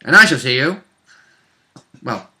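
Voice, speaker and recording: Very bright, animated man bantering about games. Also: mid-range voice, unhurried. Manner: jokey, mildly sweary, exclamatory. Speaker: player and co-host on a role-play vidcast. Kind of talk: casual banter.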